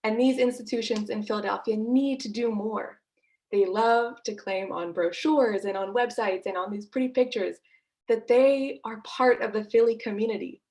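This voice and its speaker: A woman's voice: fairly high pitch, moderate pace, darkish tone. A woman presenting as an activist, speaking emphatically and with indignation as she makes her case. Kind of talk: advocacy presentation.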